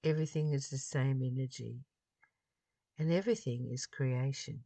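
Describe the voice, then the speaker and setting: A person reading a spiritual text aloud and adding informal, reflective commentary, pausing mid-phrase in a halting way. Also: medium pitch, vocal fry, mellow, no echo. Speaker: spiritual study reader and commentator.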